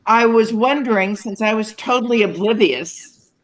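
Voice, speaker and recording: A woman's voice: a little high, moderate pace. A woman in her eighties asking a casual question as an audience member on a video call. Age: 80s.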